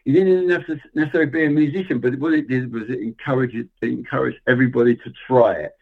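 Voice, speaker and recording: Guest voice: middle-aged man, relaxed storyteller, heard over a thin phone-style line. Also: mid-range voice, quick pace, creaky. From England. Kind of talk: casual interview chat. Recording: tinny phone-like remote line.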